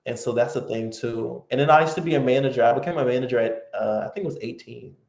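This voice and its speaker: A man hosting a casual live-stream chat, relaxed and talkative with lots of fillers. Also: medium pitch, fast, creaky, roomy sound. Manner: relaxed, chatty, many fillers. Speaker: host.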